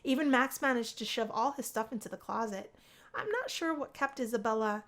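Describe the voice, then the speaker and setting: A woman reading a first-person visual novel aloud as expressive story narration. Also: a little high, fairly quick.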